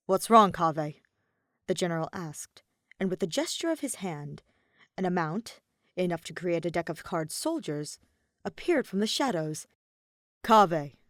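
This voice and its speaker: A woman reading fiction aloud as an expressive scripted storyteller. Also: lowish voice, no echo, studio quality. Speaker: podfic reader.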